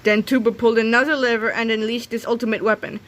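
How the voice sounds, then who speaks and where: A woman reading a children's comic aloud in an animated, dramatic, expressive way, occasionally stumbling and re-reading. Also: a little high, fast. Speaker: read-aloud narrator.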